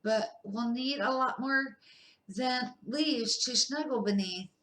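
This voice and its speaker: A woman's voice: slow pace, a bit bright, roomy sound, rough recording. A woman voicing an anxious little male hedgehog in a children's storybook, exclaiming urgently. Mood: eager. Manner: gasping, urgent, high-pitched exclaiming.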